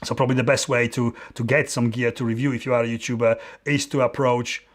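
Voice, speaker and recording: A man in his forties with a Polish accent chats through a live stream from his dim home studio. He talks in a halting, open way, restarting his sentences and filling the gaps as he answers questions. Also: mid-range voice, flat delivery. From Poland. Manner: halting, many restarts and fillers, friendly and open. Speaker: photographer and YouTuber hosting a live Q&A. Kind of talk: live-stream Q&A, casual chat.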